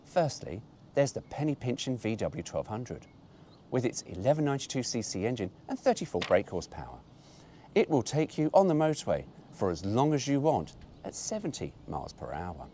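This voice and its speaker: A man's voice: medium pitch, very animated, normal speed, mellow. Male host, wry brochure reader, swoops up and down a lot, slight hiss behind. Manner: wry, mock-indignant asides. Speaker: host reading a car brochure. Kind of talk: reading aloud with asides.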